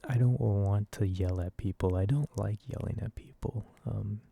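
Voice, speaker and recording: A man softly rambling in a casual ASMR style, hesitant and informal, with a breathy voice. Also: lowish voice, normal speed. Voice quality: soft, breathy. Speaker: video creator. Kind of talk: soft-spoken ASMR ramble.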